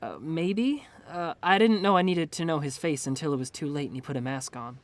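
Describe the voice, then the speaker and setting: A guarded, weary young bank clerk, acted by a man in a radio play. His voice is a bit high for a man and he answers hesitantly. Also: a little fast, lightly acted. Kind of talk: radio drama acting.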